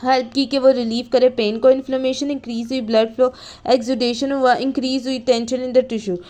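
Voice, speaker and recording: A woman's voice: high voice, darkish tone. A woman teaching in an explanatory lecture style, reading out notes and then rephrasing them, mixing in English terms and often checking in. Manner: code-switches between Hindi and English terms; repeats and rephrases points, checking with "okay". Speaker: teacher of physiotherapy students.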